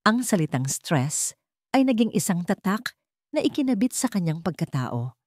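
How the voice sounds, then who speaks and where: A woman with a Filipino accent narrating a scripted story in a measured, solemn, melodramatic way. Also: medium pitch, big pitch swings, darkish tone, no echo, studio quality.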